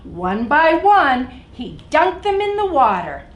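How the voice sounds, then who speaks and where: A woman's voice: high voice, big pitch swings, slow pace. A woman hosting a children's story time, reading aloud expressively and speaking directly to young listeners. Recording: echoey room, faint background noise.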